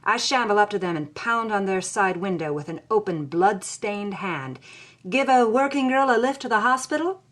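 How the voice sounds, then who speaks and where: A woman's voice: medium pitch, lively intonation, normal speed, natural voice. An actress playing a hard-boiled young woman who recounts her past in a wry, anguished audio drama narration. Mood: dramatic, wry.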